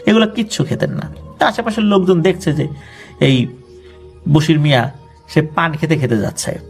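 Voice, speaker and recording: Man, Bangladeshi accent, conversational horror storyteller, explanatory asides, gravelly voice, highish pitch. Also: animated, normal speed, faint music behind.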